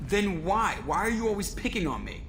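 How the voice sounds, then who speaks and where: A man's voice: sits high, lively intonation, fairly quick, a bit bright, a little hiss or hum. An actor playing a wisecracking, exasperated male starship pilot in a video game, sarcastic and full of complaint.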